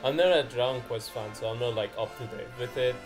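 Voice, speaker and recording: A man streams a casual reaction chat with friends, music playing faintly behind him. His voice sits at a middling pitch, and he talks in a loose, animated storytelling way. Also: fast. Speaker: streamer hosting a live reaction. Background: background music.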